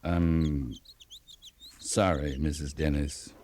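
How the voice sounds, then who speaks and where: An actor playing a stern, reserved cavalry captain in an old-time radio western, speaking formally and haltingly. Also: male voice, very deep voice, fairly even intonation, darkish tone, exaggerated voice. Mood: subdued, apologetic. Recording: noisy old radio drama recording.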